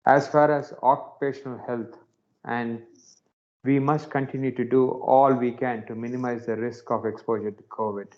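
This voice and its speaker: A man in a senior company role reading scripted business remarks in a formal, measured way. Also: medium pitch, steady tone, darkish tone. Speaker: senior company executive giving closing remarks. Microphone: conference call line. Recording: dull, lo-fi conference call audio.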